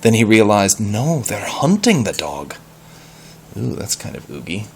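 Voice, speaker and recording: A man reading a story aloud in an animated style, pausing to explain words and ask questions. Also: on the low side, creaky, slight background noise.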